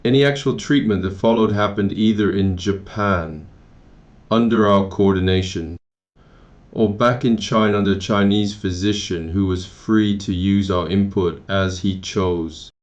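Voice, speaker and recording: A man from Taiwan reads his own memoir in a low, steady voice, measured and matter-of-fact, now and then restarting a word. The recording sounds dull and lo-fi. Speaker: memoir narrator. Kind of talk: first-person memoir narration.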